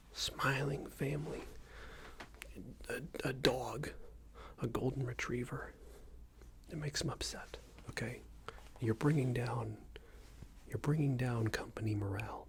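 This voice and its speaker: A man doing an ASMR roleplay as a passive-aggressive company boss, speaking in a soft, breathy whisper with hesitant, patronising phrasing. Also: medium pitch, noisy background. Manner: hesitant, repetitive, patronising workplace talk. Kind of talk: ASMR roleplay, improvised monologue.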